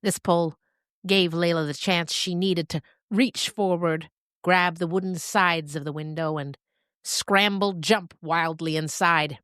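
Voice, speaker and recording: Woman, fiction narrator, low pitch, dry close sound, expressive storytelling, deliberate dramatic pauses. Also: smooth, no echo.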